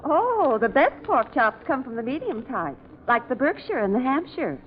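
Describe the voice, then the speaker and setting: A woman's voice: high voice, big pitch swings, moderate pace. An actress playing a helpful, matter-of-fact working woman serving customers in an old-time radio comedy. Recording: tinny, noisy old radio recording.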